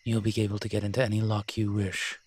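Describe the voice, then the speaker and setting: A man's voice: on the low side, monotone, quick pace, a little mellow, natural voice. A man playing a roguish incubus demon in a roleplay audio drama, talking in a sly, teasing, conversational way. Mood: playful, confident. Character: smooth-talking roguish male incubus demon, playful and scheming.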